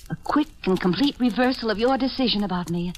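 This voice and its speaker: A woman's voice: mid-range voice, quick pace, close to an everyday voice. An actress playing an imperious, hot-tempered young woman in a 1940s radio drama. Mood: cold, threatening. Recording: noisy vintage radio recording with clicks.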